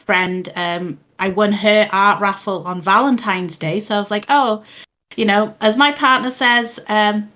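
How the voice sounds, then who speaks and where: A Welsh woman in her early thirties talks freely as an interview guest, drifting from thought to thought and restarting her sentences. Her voice is bright and soothing. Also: mid-range voice, measured pace. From Wales. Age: 30s. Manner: chatty, rambling, many fillers and restarts. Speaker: guest, VTuber. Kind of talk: casual interview chat. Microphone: boom mic.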